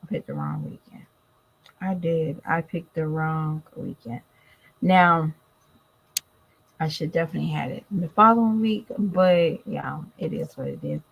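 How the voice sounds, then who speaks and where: A woman's voice: deep voice, sing-song, a little slow, gravelly, slightly rough, dull audio. A tired, congested woman chatting casually to her viewers in a vlog. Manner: chatty, addresses viewers directly. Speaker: vlogger. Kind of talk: casual chat to camera.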